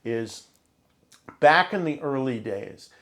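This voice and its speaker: A man's voice: mid-range voice, expressive, slow pace, a bit bright. Man chatting and telling stories casually. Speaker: interview guest, long-time idol fan. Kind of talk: casual chat, storytelling.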